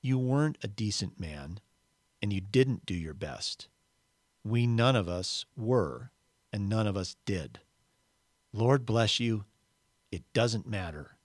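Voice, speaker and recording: A man voicing a gentle, forgiven heavenly spirit in a story, calm, reassuring and plainspoken. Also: lowish voice, slow, pauses often, no echo, studio quality. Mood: gentle, patient.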